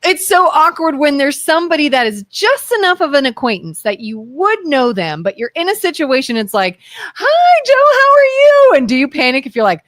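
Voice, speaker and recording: A woman's voice: high voice, normal speed, no echo, clean studio sound. A young woman from Ohio with an Ohio accent, co-hosting a casual podcast and telling stories with acted-out voices. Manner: animated storyteller, acts out quoted voices. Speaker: podcast co-host. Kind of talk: casual chat.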